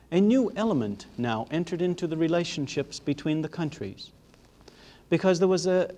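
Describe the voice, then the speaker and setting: A man giving a formal history lecture in a measured, emphatic way, leaning on rhetorical repetition. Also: fairly high pitch, mellow.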